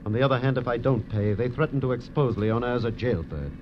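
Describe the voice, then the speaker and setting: A man's voice: medium pitch, animated, fast talker, lightly acted. An actor playing an anxious, protective wealthy man in 1940s radio drama, earnest and urgent. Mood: pained, protective. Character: anxious wealthy protective husband, male blackmail victim in wheelchair. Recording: muffled old radio recording, faint noise.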